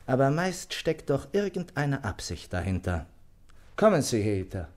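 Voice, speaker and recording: An actor playing a gruff but loyal and protective doctor friend, with a husky voice, in a German radio play. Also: male voice, mid-range voice, big pitch swings, measured pace, darkish tone. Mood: dismissive.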